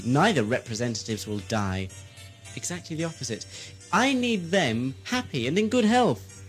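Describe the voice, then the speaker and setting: A man playing a grandiose, greedy, gleefully murderous villain lord in an audio sci-fi drama, with a theatrical, mocking, mock-courteous delivery. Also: fairly high pitch. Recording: music underneath.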